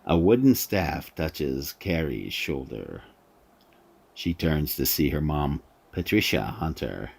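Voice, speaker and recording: A male narrator reading out a radio drama in a measured, storytelling style. His voice is extremely deep and gravelly, and he takes his time. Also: very animated, darkish tone.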